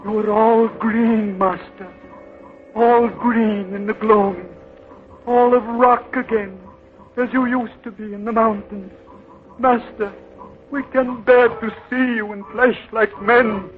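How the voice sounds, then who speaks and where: A man's voice: high-pitched, fairly even intonation, takes it slowly. An actor in a 1930s radio drama playing an awed male townsman who pleads reverently before those he takes for gods. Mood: awed, pleading. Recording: tinny 1930s radio broadcast with music.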